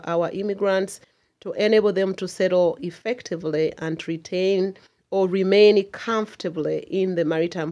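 Earnest woman, low-pitched. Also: warm tone. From Uganda. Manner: earnest, emphatic and heartfelt. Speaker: community association chair. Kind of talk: radio interview.

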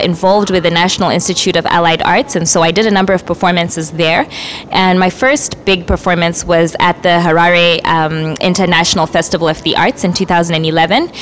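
Young woman from Zimbabwe, spoken word artist, earnest radio chat, slightly low voice, faint hum in the background. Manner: earnest, measured, with frequent fillers. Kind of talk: community radio self-introduction.

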